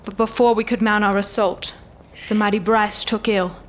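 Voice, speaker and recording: A woman's voice: medium pitch, fairly even intonation, moderate pace, a little hiss or hum, natural voice. A woman playing a noble elven commander in a fantasy audio drama, speaking in formal, stately oratory. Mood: solemn, mournful.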